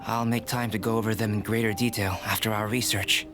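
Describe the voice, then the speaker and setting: An actor playing a calm, studious young alchemist in an anime fantasy video game, speaking in a measured, polite and precise way. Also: male voice, fairly low pitch, music in the background, natural voice. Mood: intrigued.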